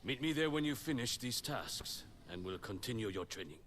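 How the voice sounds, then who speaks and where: An actor playing a seasoned spear master in a video game, a stern yet encouraging teacher with a measured, commanding, instructive manner. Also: male voice, mid-range voice, lively intonation, close to an everyday voice. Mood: earnest. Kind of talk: video game character acting. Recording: clear noise of game ambience.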